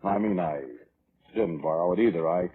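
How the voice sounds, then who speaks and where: An actor playing a defensive, weaselly brother testifying at an inquest, halting and evasive, in old radio drama style. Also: male voice, deep voice, close to an everyday voice. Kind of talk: 1940s radio drama acting. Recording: tinny, noisy old radio recording.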